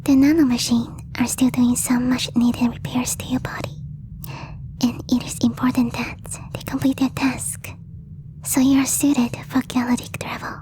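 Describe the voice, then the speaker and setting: A woman voicing a possessive, lovestruck alien girl in a breathy whisper, with slow, stiffly formal phrasing for an ASMR roleplay. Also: high-pitched, flat delivery, dark tone, close to an everyday voice, low-quality recording. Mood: soothing, calm. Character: possessive lovestruck alien girl, stilted speech, shyly obsessive.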